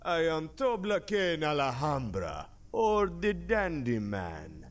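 A man's voice: fairly high pitch, wildly swooping pitch, slow pace, heavily acted. Man as a suave, showy cartoon villain, noisy background. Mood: suave, taunting. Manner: smooth-talking, theatrical, familiar. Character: suave showy male card-playing villain. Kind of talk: comic anime parody dub.

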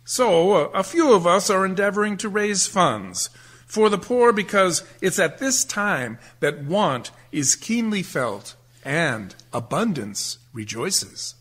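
An actor playing an earnest, genteel charity collector in a radio play, speaking with polite formality. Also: male voice, sits high, sing-song, takes it slowly, fairly bright tone, full character voice. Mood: earnest, dismayed.

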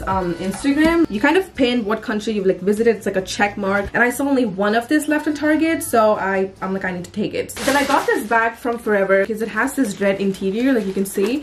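A raspy-voiced young woman in her twenties from Dubai, chatting casually through a shopping haul in her bedroom, chatty and gushing with quick asides. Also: medium pitch, a little hiss or hum. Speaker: beauty vlogger. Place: bedroom, indoors.

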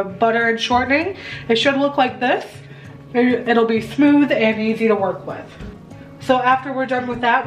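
A young adult American woman talking through a recipe in a chatty, step-by-step home tutorial style. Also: on the high side. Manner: chatty, instructive. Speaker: home baking vlogger. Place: home kitchen, indoors. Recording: roomy kitchen echo.

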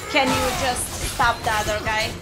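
A woman's voice: on the high side, fairly even intonation, moderate pace. Chatty woman gamer with a cold. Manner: chatty, reactive, exclaims at the game. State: has a cold. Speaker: gaming YouTuber. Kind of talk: casual playthrough commentary. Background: video game music.

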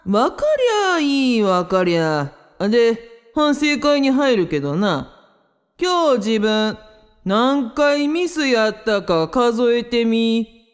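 Woman doing bossy kansai show organizer guy, drawly and talking down, comic rakugo style, pitched down. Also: expressive, takes it slowly, clear, resonant tone, long reverb tail. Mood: scolding. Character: bossy, condescending male safari show organizer.

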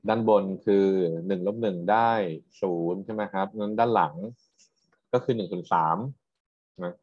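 A man's voice: on the low side, steady tone, moderate pace, dry recording. A man teaches as a university lecturer. He explains patiently in a technical style, often tagging his points with short questions to students. The recording sounds somewhat dull and muffled. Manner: explanatory, checks understanding with frequent tag questions.

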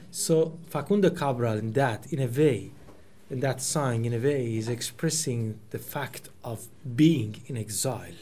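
A man in his forties whose first language is Persian talks at an unhurried pace, thinking aloud and correcting himself mid-phrase. His voice sits at an ordinary middle pitch. He speaks into a table microphone in a small meeting room, with a faint hiss underneath. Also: darkish tone. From Iran. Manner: thoughtful, searching for words, many restarts and fillers. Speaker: poet giving a talk. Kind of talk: reflective lecture.

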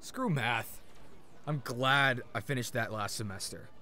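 A man's voice: mid-range voice, unhurried, sharp tone, slight background noise. A man voicing a brash, incredulous teenage boy gamer in an audiobook. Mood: dismissive.

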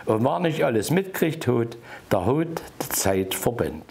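Gravelly older man in Erzgebirge dialect. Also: mid-range voice, very animated, measured pace, a little mellow. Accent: Erzgebirge Saxon. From Erzgebirge, Germany. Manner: rhythmic, rhyming delivery read from paper. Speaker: dialect poet. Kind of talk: reciting a humorous dialect poem. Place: small indoor room by a wood stove.

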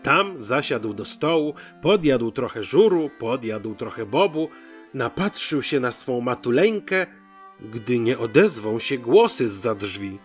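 Male storyteller, middle pitch, very animated intonation, theatrical folk tale narration, light music behind. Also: breathy edge. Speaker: storyteller, host.

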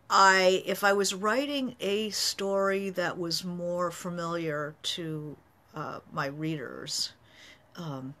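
Middle-aged novelist, casual webcam talk from a study; bright, slightly gravelly voice, thinks aloud. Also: female voice, sits low. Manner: thoughtful, gestures a lot, many fillers. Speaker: novelist answering writing questions. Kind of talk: casual live-stream talk. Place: home study with bookshelves.

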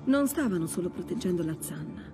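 A woman's voice: lowish voice, lively intonation, brisk, music in the background, close to an everyday voice. A woman in her thirties playing a determined, sardonic treasure hunter in a naturalistic video game style. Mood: earnest.

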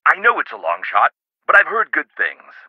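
An earnest businessman who runs a research lab in a video game. His delivery is conversational and emphatic, with extremely mobile intonation at a medium pitch. The audio is close and dry, slightly dull on top. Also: huge pitch swings, moderate pace, slightly rough, no echo, full character voice. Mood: suspicious, confiding. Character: earnest businessman lab owner, troubled and guarded.

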